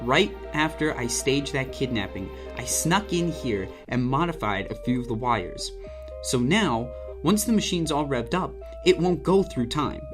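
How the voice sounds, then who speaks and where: A man acts out a young male double agent in a radio play, brainy and evasive. He stammers and talks in slangy bursts, wheedling his listeners. Music plays clearly behind him. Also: medium pitch, expressive, measured pace, lightly acted. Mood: insistent. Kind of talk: audio drama acting.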